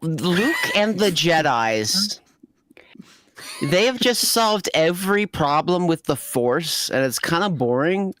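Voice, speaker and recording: A man's voice: fairly high pitch, big pitch swings, takes it slowly, fairly bright tone, no echo, studio quality. A man on a comedy podcast panel, chatting casually and bantering with a joking manner.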